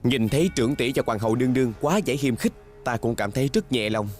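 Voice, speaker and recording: A man voices a smooth-tongued young nobleman from an ancient costume drama. His mid-pitched voice is courtly and formal, with scheming insinuation under the politeness. Light music plays behind him. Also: fast, darkish tone, close to an everyday voice. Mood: smugly courteous. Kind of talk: Vietnamese dubbing of costume drama.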